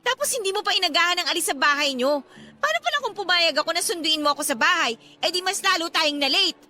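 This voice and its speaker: A woman's voice: very high-pitched, expressive, fast talker, sharp tone, heavily acted. A woman playing a guarded, irritable young woman in a Tagalog radio romance drama, speaking with emotional emphasis. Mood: irritated, nagging.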